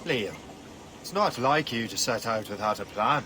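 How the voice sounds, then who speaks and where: A man's voice: mid-range voice, animated, normal speed, background noise, close to an everyday voice. An actor playing a cheeky, restless young male trainee assassin with an Irish accent in a game cutscene. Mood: easygoing.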